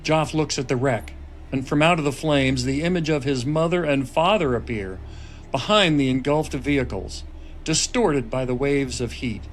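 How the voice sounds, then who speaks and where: A man's voice: mid-range voice, normal speed. Man narrating screenplay action, some noise. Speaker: stage-direction narrator. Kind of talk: scripted dramatic narration of a screenplay. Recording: noisy table-read room recording.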